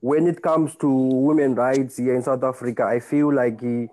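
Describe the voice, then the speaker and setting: A man with a Zimbabwean accent giving his view in an online discussion, speaking deliberately with hesitant pauses. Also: mid-range voice, steady tone, warm tone, no echo. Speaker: participant in a group discussion. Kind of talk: spoken contribution to an online panel discussion.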